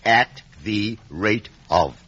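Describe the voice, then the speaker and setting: An actor playing a stern, domineering Victorian lawyer in an old radio drama, brusque and precise. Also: male voice, on the low side, expressive, very slow, fairly bright tone, breathy edge, heavily acted. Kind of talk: 1950 radio drama acting. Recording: muffled vintage radio broadcast recording.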